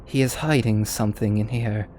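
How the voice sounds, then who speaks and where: A man's voice: fairly low pitch, steady tone, moderate pace, darkish tone, close to an everyday voice. A man voicing an empathic, concerned woman, a starship officer's wife, in a story. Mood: questioning.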